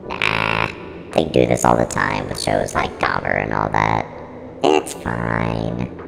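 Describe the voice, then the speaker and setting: An actor playing a snarky, defensive non-human service unit who runs a horror studio, with a pitched-down, gravelly voice in a mock interview. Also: male voice, fairly even intonation, slow, a bit bright, full character voice. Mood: defensive, flippant. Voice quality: very deep, creaky, heavily reverberant. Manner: blunt, flippant, defensive. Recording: long reverberant echo, music underneath.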